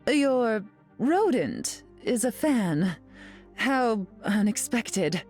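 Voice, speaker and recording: Slow, haughty, sardonic mutant queen. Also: a little high, sing-song, a little mellow, faint music behind, exaggerated voice. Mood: haughty, dry. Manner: haughty, drawling, dryly mocking. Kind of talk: video game character voice lines.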